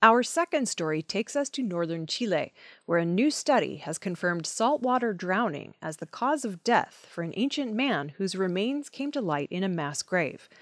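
A woman's voice: medium pitch, no room sound, studio quality. A woman reading scripted news stories as the presenter of an audio news program. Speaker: news reader.

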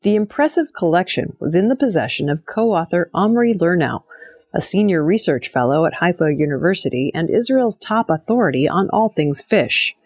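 A woman's voice: lowish voice, sing-song, normal speed, darkish tone, dry recording. A woman reading scripted news items as a narrator. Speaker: news reader.

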